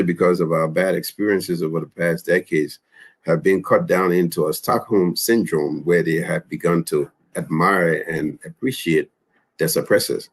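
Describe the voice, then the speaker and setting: A man from Liberia, speaking as a panelist and commenting in a discussion with many halting restarts. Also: low-pitched, slightly rough.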